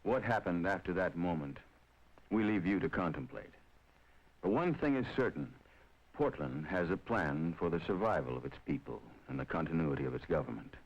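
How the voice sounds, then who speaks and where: A man narrating a documentary in a measured, solemn scripted delivery. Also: deep voice, expressive. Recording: dull lo-fi 1950s film soundtrack. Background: film score under the voice.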